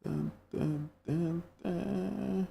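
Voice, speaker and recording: A man's voice: high-pitched, steady tone, very slow, vocal fry, mellow, slight background noise. A young man from India with an Indian accent, chatting casually with his live-stream viewers and reacting to their messages.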